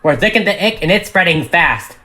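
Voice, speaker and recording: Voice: medium pitch, animated, a little fast, sharp tone, pitched above the performer's own voice. A narrator voicing a plucky, wry young female cartoon flying pony giving urgent reports. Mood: wry alarm.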